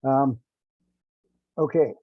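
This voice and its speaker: A man giving an informal technical presentation on a teleconference, speaking in a halting, rambling way. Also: medium pitch. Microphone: remote teleconference call. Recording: dull, roomy conference-call audio.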